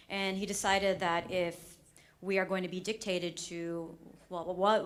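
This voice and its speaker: A woman doctor from the United States speaks on a conference panel. Her voice is on the low side, and she explains things in a clear, steady way. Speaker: emergency physician, panelist.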